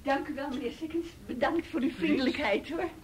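An actress playing an anxious, well-meaning, gossipy neighbour in a radio play, speaking in a hesitant, confiding and halting way. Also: female voice, sits high, crowd noise, close to an everyday voice. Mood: relieved, grateful. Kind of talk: Dutch radio drama dialogue.

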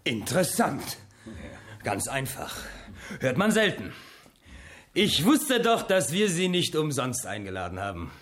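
A man's voice: mid-range voice, very animated, measured pace, pauses often, fairly bright tone. An actor playing a formal, officious chairman of a wartime factory meeting in a radio drama. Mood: pleased.

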